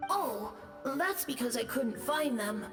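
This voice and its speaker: A woman's voice: a little high, expressive, slow, over music. A woman voicing a timid, apologetic boy ghost who got lost, in a game. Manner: shy, apologetic. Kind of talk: game voice acting.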